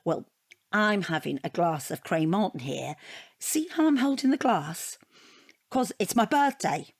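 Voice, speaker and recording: A middle-aged British divorcee in an audio comedy drama. Her voice sits fairly low and is recorded dry and close. She rambles chattily, wry and defensive, forever justifying herself. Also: animated, a little slow, breathy edge, no room sound, exaggerated voice. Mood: breezy, wry.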